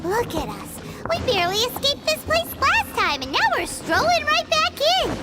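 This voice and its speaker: Very squeaky little fairy girl. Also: moderate pace, heavily acted. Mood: chatty, wry. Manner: cutesy, expressive. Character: small, chatty, childlike girl fairy companion. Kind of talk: video game voice acting. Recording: loud game music behind.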